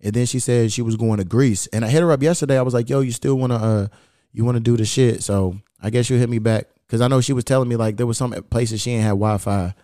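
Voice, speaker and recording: A man's voice: mid-range voice, fast talker, dark tone, no room sound, studio quality. A man hosting a podcast, telling stories in a slangy, profane, laid-back way. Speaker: podcast host. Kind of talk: casual podcast conversation.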